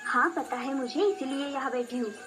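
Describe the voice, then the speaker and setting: A man voicing a protective demon mother for an animated storybook, coaxing and admonishing. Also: extremely high pitch, moderate pace, voice pushed up. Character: protective, scolding demon mother, sweet to guests. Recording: music behind the voice.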